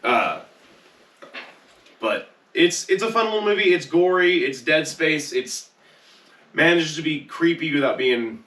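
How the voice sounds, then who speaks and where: A man co-hosting a podcast in casual group chat, speaking haltingly and repeating himself as he goes. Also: fairly high pitch, animated, a bit bright. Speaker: podcast co-host. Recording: roomy echo, distant from mic.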